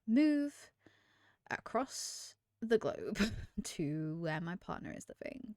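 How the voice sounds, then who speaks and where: A woman's voice: on the low side, darkish tone, clean tone, no room sound. Chatty young woman, dry close sound. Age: 20s. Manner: chatty and candid, trails off and restarts. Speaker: streamer. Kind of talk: casual chat to camera.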